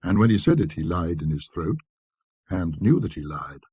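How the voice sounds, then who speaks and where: A man reads a classic ghost story with scholarly precision. His low voice is mellow and a little husky, rising and falling with great animation, in a close, dry recording. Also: sing-song, moderate pace, dry recording. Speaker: narrator. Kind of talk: scripted ghost story narration.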